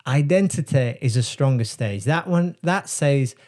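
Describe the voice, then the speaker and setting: A man who works as a brand strategist, talking casually to camera and explaining ideas in a reflective, unhurried way with frequent pauses. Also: mid-range voice, expressive, a little mellow.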